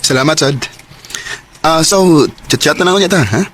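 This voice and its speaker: A man's voice: on the high side, expressive, moderate pace, a bit bright, slight background noise. A man acting an earnest, hesitant suitor and troubled father in a Cebuano radio drama. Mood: shy, courting.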